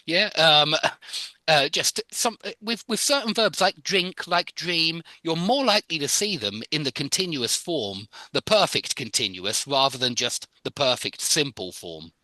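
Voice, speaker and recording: Lively, bright-voiced male English teacher. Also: fairly high pitch, measured pace, dry recording, rough recording. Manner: corrects and explains, laughs easily, repeats model sentences. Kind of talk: live online English lesson. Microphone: video call.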